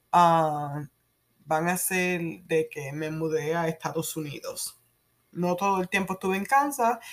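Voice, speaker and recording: A Puerto Rican woman in her thirties hosting a solo podcast, speaking haltingly with fillers and soft laughs. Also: lowish voice. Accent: Puerto Rican Spanish. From Puerto Rico. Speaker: podcast host.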